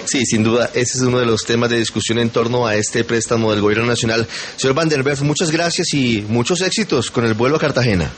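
A man hosting a radio show, brisk and courteous as he wraps up an interview. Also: medium pitch. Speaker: radio host.

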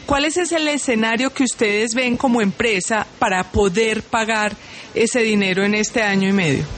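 A man's voice: high voice, normal speed, a bit bright, slight background noise. A man working as a radio interviewer, asking long, probing questions.

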